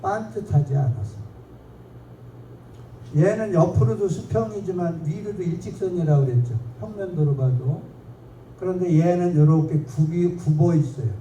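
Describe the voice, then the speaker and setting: A man with a dark, mellow timbre gives a rambling, self-mocking public lecture, drifting off topic and back. He is picked up from a distance in an echoey hall, with faint noise underneath. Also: a little high, moderate pace, muffled recording. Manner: chatty, digressive, self-mocking. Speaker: local history lecturer.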